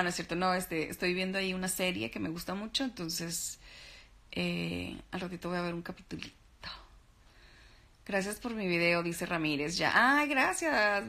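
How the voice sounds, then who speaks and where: A Mexican woman in her thirties, lying in bed and chatting casually on a live stream, reading out fan comments in a relaxed, affectionate way, recorded on a phone held at arm's length. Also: on the low side. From Sinaloa, Mexico. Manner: relaxed, chatty, calls viewers "mi amor" and "baby". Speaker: actress and TV host doing a live stream. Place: lying in bed in a bedroom, indoors.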